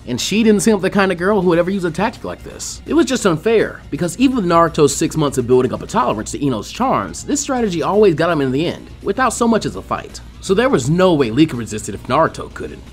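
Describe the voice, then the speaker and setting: A man reading fan fiction aloud as an animated scripted narration. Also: on the high side.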